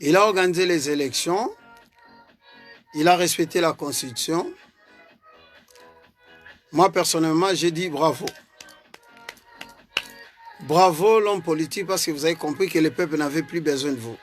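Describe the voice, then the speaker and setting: A man's voice: on the high side, normal speed, long pauses, soft background music. A man with a Congolese French accent gives an earnest political commentary as a party vice-president, hesitating and repeating words as he goes. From Congo (DRC).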